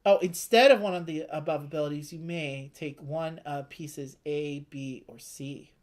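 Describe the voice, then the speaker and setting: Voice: mid-range voice, moderate pace. A co-host of a board game playthrough, talking casually through rules, choices and story.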